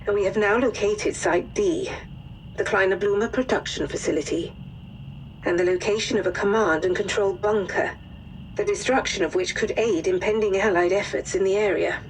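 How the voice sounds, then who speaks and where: An actress plays a woman intelligence officer delivering a scripted wartime game briefing. Her mid-pitched voice is formal, measured and grave, with faint noise behind it. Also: close to an everyday voice, poor audio. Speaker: mission briefing narrator.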